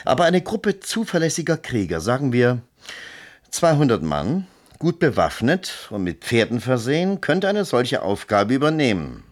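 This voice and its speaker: An actor playing a scheming army colonel in a German radio drama, commanding, coaxing and insinuating. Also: male voice, medium pitch, very animated, moderate pace. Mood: persuasive.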